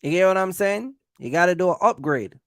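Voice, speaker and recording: A man with a slightly high, gravelly voice talks casually as a livestream host. He addresses his viewers directly, repeating his points for emphasis. The sound is close and dry. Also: expressive, brisk, dry recording. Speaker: host of a trucking business livestream.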